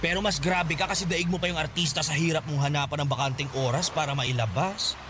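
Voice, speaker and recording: A man's voice: on the high side, steady tone, fast, background noise, close to an everyday voice. A man playing a gossipy, teasing, campy friend in a radio drama, exclaiming in sassy slang. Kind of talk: Tagalog radio drama acting.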